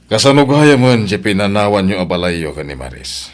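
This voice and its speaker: An older father and grandfather in an Ilocano audio drama. His voice is deep, and he speaks as a stern elder who aches for family. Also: big pitch swings, normal speed. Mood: stern, pleading. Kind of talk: Ilocano radio-style family drama acting.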